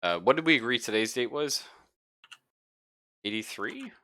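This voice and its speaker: A man's voice: medium pitch, big pitch swings, normal speed, bright, forceful tone. A man improvising as game master of a tabletop roleplaying game, thinking aloud with some hesitation.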